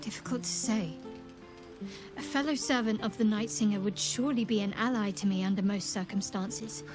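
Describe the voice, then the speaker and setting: A woman's voice: mid-range voice, moderate pace, music in the background, natural voice. A woman playing a guarded, devout young cleric in a video game, cool and reserved in manner. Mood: wary.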